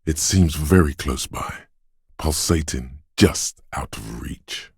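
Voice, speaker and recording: A man voicing a detective's quick-witted inner voice in a video game, narrating in a measured, deliberate way with short, wry, alert asides. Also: very deep voice, gravelly, darkish tone, husky, no echo, clean studio sound, full character voice. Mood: measured, ominous. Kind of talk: scripted game narration, second-person inner voice.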